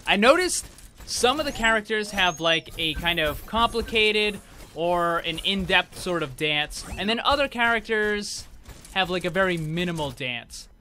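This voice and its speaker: Male gaming host, fairly high and very bright tone, informal explanatory commentary. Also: lively intonation, measured pace, a little hiss or hum. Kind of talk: casual video game showcase commentary.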